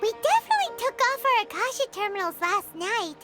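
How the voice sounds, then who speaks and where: A woman voicing a tiny, childlike, excitable fairy companion in an anime video game, exclamatory and dramatic. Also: very high voice, brisk. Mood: puzzled. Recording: background music behind the voice.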